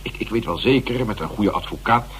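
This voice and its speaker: Guy acting a deep theatre boss, self-important, faltering and dramatic, old radio play with hum. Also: a little fast, a bit bright, natural voice. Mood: distraught, pleading. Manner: hesitant, repeating words, theatrical. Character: distraught, self-important theatre company director feigning reluctance.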